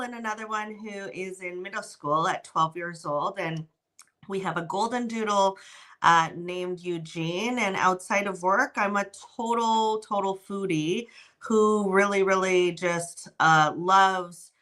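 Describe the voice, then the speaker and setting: A woman's voice: medium pitch, a little slow. A woman in her forties from Canada with a slight Texan accent, talking casually and animatedly as a podcast guest over a video call on a tablet. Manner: bubbly, animated storytelling. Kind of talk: casual interview chat. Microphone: tablet mic on a video call.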